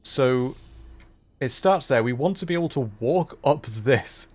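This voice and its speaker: A man's voice: mid-range voice, animated, measured pace, darkish tone. Guy streamer doing casual gameplay commentary, kinda muttering to himself while he figures stuff out. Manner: muttering to himself while working through puzzles. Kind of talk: casual livestream gameplay commentary, thinking aloud.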